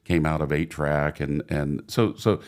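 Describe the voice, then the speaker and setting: Deep-voiced older man, laughing, self-deprecating. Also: steady tone, measured pace, darkish tone. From Oregon. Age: 60s. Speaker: guest, radio broadcaster. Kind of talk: casual interview talk.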